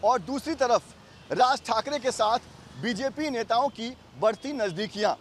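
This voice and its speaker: A man gives a scripted report as a news reporter. His voice is extremely high for a man, with faint outdoor urban noise around it. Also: measured pace.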